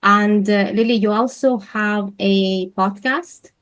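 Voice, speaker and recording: A woman at an ordinary mid pitch with a Lithuanian accent, hosting a relaxed conversation with a friend. She is middle-aged and speaks in a friendly, agreeable way. She fills in often with short words of agreement. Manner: friendly, affirming, quick back-channel responses. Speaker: podcast host. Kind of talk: casual interview chat.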